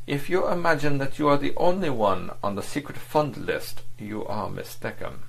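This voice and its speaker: A man reads this part, raising his voice into a polished, scornful diplomat who pitches his lines high and lets them leap and fall. His tone is cool and menacing, with a gravelly edge under the mockery. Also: very animated, moderate pace, a bit bright, heavily acted, rough recording. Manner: mocking, sneering, overbearing. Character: suave, cynical, menacing male foreign diplomat.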